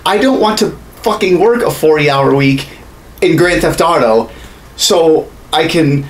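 A man's voice: on the high side, unhurried. A man in his thirties ranting casually as a podcast co-host, profane, exasperated and sarcastic. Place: small home studio room. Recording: noisy room, steady background ambience.

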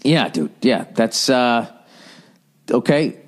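A man's voice: lively intonation, takes it slowly. A middle-aged man hosting a podcast, bluntly giving advice with lots of swearing and mocking put-on voices. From United States. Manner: profane, blunt, mocking voices in a higher pitch. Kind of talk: casual advice rant reading listener letters.

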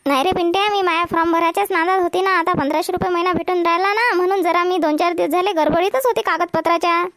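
A woman's voice: very high-pitched, steady tone, quick pace, a bit bright, dry recording, studio quality. A woman voicing a cheeky, boastful young village woman in a comedy cartoon, arguing in a Vidarbha Marathi accent. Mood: argumentative. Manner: argumentative, self-satisfied.

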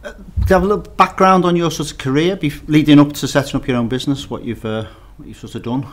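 A man's voice: a little high, steady tone, quick pace. A man hosting a casual podcast interview, asking informal, rambling questions. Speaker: podcast host.

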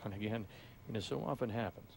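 A man's voice: lowish voice, fast talker, gravelly, a little mellow. A man giving conversational, inquisitive live play-by-play commentary on a bowling match. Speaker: sports play-by-play announcer. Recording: live crowd noise behind. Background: audience and hall noise.

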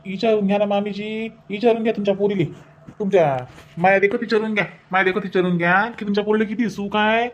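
A man's voice: high-pitched, steady tone, fast, lo-fi sound, lightly acted. A man voicing an indignant, grumbling village family man in a comedy cartoon, with a Varhadi Marathi accent, repeating his phrases. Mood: defensive, indignant.

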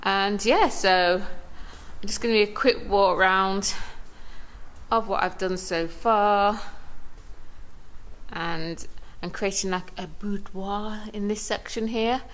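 A woman giving a casual walking tour of her shop and café, filmed on a handheld phone. She chats in a relaxed way and laughs now and then. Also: mid-range voice, takes it slowly, low-quality recording. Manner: chatty and relaxed, with small laughs. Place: small shop and café, indoors and on the pavement outside. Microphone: handheld phone camera.

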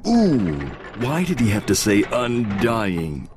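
An actor playing a wry, mocking storybook narrator who gives droll, theatrical asides in a scripted video game. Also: male voice, on the low side, big pitch swings, slow, a crowd in the background. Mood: mock dismay.

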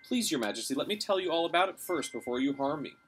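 Guy reading as a plucky polite kid girl from a storybook, pleading, pitched up a bit. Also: expressive, fast, a bit bright. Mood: earnest pleading. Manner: deferential, earnest. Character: brave young girl, polite, pleading and cunning. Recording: faint outdoor ambient noise.

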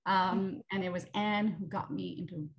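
Animated woman from India on a video call. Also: fairly low pitch, moderate pace, lo-fi sound. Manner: animated, gestures with hands, many fillers. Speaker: panelist author. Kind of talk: casual panel conversation. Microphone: video call webcam.

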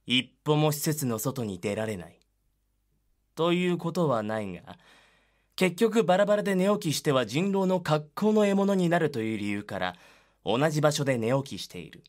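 An actor playing the solemn narrator of a dark voice drama, reading scripted narration. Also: male voice, a little high, very animated, moderate pace.

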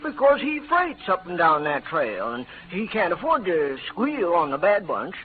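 A man's voice: sits high, big pitch swings, measured pace. An actor playing a gossipy, folksy American frontier townsman in an old radio western. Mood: urgent, confiding. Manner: folksy, rustic tale-telling. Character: Chuck, gossipy frontier townsman passing on news. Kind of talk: 1940s-50s western radio acting. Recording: faintly noisy, narrow-band old radio recording.

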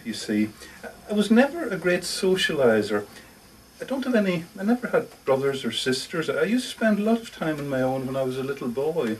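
A man's voice: mid-range voice, a little mellow, distant mic, a little hiss or hum, natural voice, low-quality recording. A man reading a short story monologue as a lonely, socially awkward older lodger, confiding, hesitant and defensive, correcting himself as he goes. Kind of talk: first-person short story monologue read aloud. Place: live reading in a bookshop.

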